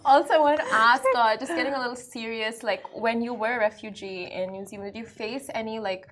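A woman's voice: a little high, fairly even intonation, fairly quick, fairly bright tone. A woman hosting a casual interview show, asking playful, upbeat questions. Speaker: show host.

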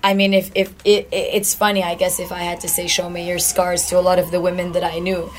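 A woman from Iraq co-hosting a podcast, talking in a reflective, halting way with many restarts. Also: lowish voice, completely flat delivery, a little hiss or hum. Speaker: podcast co-host. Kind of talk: casual chat.

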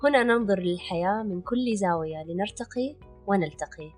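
A woman's voice: medium pitch, animated, a little fast, darkish tone, faint music behind. A woman with a Hijazi Saudi accent co-hosting a health podcast, explaining things conversationally and sprinkling in English words. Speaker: podcast co-host, holistic physician and wellness coach.

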